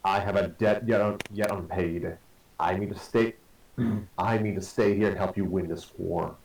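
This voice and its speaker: A man's voice: sits low, steady tone, normal speed, a little mellow. A man playing an earnest, stubborn warrior brother burdened by guilt in a fan drama, speaking formally and with regret. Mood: stubborn, resolute. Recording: echoey room, distant mic.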